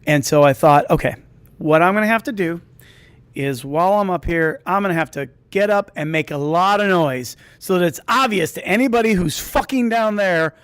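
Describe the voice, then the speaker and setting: A man's voice: high-pitched, normal speed, a bit bright, clean tone, no room sound. A man telling a comedic personal story to an audience, rambling and interrupting himself with asides.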